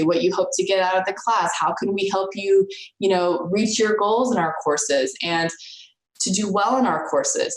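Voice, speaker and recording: A woman college instructor talking informally to students, earnest and encouraging, often repeating words as she restarts. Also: fairly low pitch. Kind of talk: informal talk to students about office hours. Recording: roomy echo.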